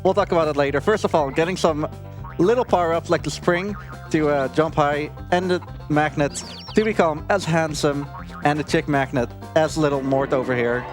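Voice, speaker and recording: A man's voice: on the high side, soft background music. A man casually commentating his speedrun live, joking and chatting. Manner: joking, chatty, quick laughs.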